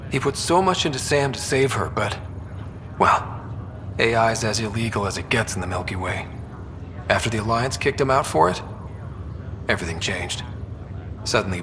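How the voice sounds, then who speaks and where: Young sci-fi game soldier, wistful, dry, conversational, long reverb, loud background hum. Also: male voice, on the low side, moderate pace, long pauses. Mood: somber, bitter. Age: young adult. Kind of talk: naturalistic video game dialogue acting. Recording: reverberant room, loud ambient hum behind.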